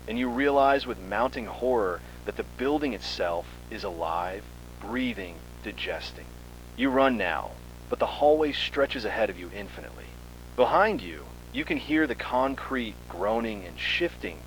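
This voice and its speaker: A man narrating a scripted second-person horror story in a measured, ominous, dramatic way. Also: on the low side, slight background noise, rough recording.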